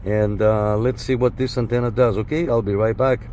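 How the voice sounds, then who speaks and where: A man's voice: on the low side, steady tone, moderate pace, warm tone. A man hosting a casual hands-on radio gear test, speaking in short repetitive call-style phrases. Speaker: host of a CB radio antenna test. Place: inside a parked car and outside beside it. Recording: noisy car and outdoor background.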